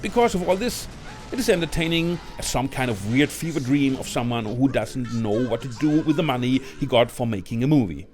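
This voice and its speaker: A male reviewer narrates a scripted ranking of movies. His voice sits at an average pitch for a man. Music runs behind the narration. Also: expressive, brisk, a little mellow. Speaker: video presenter and film reviewer.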